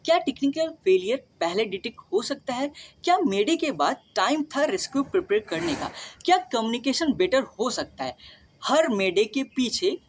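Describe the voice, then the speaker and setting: Indian woman narrating with lively, swinging pitch. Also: moderate pace, slight background noise. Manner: addresses listeners directly with rhetorical questions. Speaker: video host. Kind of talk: scripted explainer narration.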